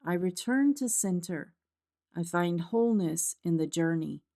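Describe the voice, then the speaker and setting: Slow, calm woman guiding a meditation. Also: fairly low pitch. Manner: calm, measured, soothing. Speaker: meditation guide. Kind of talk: guided meditation narration, scripted. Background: instrumental music.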